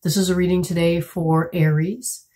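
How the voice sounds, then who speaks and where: A woman in her thirties giving a tarot reading to camera at home, a bit congested and raspy from a cold, talking in a soft, musing way with many fillers. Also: fairly low pitch, fairly even intonation, normal speed. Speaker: tarot reader. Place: small room at home, indoors.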